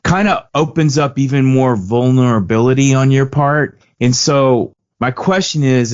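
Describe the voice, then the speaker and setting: Thoughtful man podcast co-host. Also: mid-range voice. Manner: thoughtful, long winding questions. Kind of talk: interview questions. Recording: dull podcast audio.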